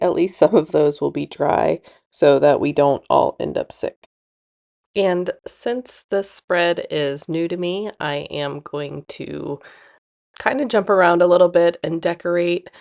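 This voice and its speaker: Congested, creaky low-voiced woman vlogger. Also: a little mellow. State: congested, losing voice. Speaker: planner channel host. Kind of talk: casual plan-with-me voice-over.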